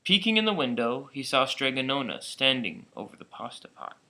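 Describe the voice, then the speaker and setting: Bright-voiced man reading a children's story. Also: medium pitch, big pitch swings, brisk. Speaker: storybook reader. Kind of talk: read-aloud children's story narration.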